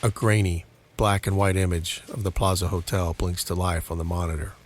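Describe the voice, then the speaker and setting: A man's voice: deep voice, flat delivery, a little fast, a little hiss or hum. A man reading scripted narration for a horror mystery audio drama.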